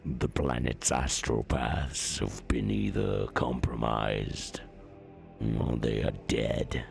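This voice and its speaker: A man voicing a grave, commanding warlord who reports in a solemn, deliberate manner in a story reading. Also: extremely low pitch, fairly even intonation, creaky, soft background music, pitched below the performer's own voice, heavily acted. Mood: grim, solemn.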